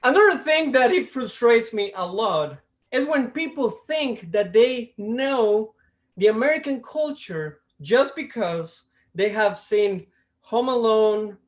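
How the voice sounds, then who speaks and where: A man from Costa Rica with a Costa Rican Spanish accent, doing a stand-up comedy set over a video call, with a deliberate delivery that stops and restarts. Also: high-pitched, highs cut off. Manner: deliberate, with restarts and self-amused laughs. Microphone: video call mic.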